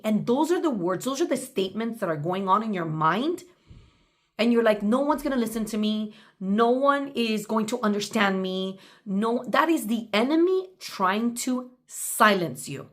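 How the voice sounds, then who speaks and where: A woman's voice: on the high side, animated. Woman giving an emphatic motivational talk. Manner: emphatic, deliberate, speaks directly to the listener. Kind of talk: motivational talk with reading from own journal.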